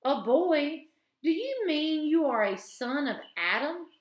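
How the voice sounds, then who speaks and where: A woman's voice: fairly high pitch, expressive, unhurried, pitched above the performer's own voice. A woman voicing an imperious, stern queen who asks commanding questions, recorded with a roomy echo.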